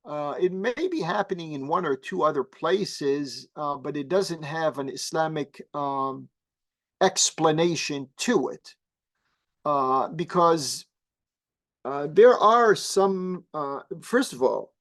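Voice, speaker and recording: A man whose first language is Arabic, a guest imam explaining religious teaching slowly and thoughtfully, pausing often with fillers. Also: fairly high pitch, animated, fairly bright tone. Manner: measured, halting with many fillers.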